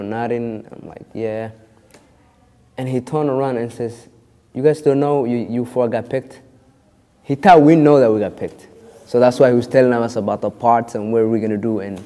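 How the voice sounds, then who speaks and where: A man's voice: lowish voice, dark tone, big-room sound. A young man born in Kenya of a Somali family, telling his story in an interview with lots of restarts and "you know". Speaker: actor interviewed.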